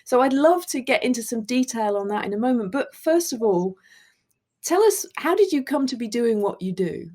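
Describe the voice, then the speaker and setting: A woman's voice: on the high side, lively intonation, moderate pace, darkish tone. Upbeat woman podcast host. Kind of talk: scripted intro, then interview chat.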